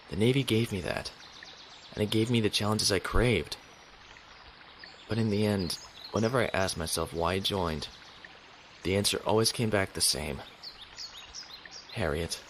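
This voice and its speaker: A man's voice: on the low side, fairly bright tone, slight background noise, lightly acted. A man playing a conflicted, honorable young naval officer in a sci-fi audio drama, earnest and brooding.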